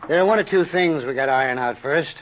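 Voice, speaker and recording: An actor playing a smooth, needling American schemer in an old radio crime drama, casual and insinuating. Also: male voice, a little high, fast, lightly acted. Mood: sly, needling. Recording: muffled old radio recording.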